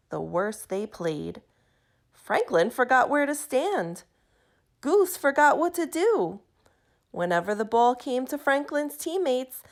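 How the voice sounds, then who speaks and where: A woman reading a children's picture book aloud in an expressive, deliberate, emphatic way. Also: fairly high pitch. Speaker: storybook reader.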